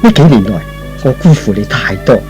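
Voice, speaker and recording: A man's voice: mid-range voice, sing-song, moderate pace, a little mellow, soft background music, pitched below the performer's own voice, lightly acted. A man playing a devoted, tender young man in love, earnest and sentimental, in an old radio melodrama.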